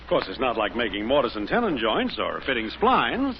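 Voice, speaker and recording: A man's voice: medium pitch, huge pitch swings, fairly quick. An American man playing a smug, teasing know-it-all neighbor in a 1950s radio sitcom. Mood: boastful. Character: cocky, bickering, know-it-all male neighbor. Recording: noisy, dull 1950s radio broadcast.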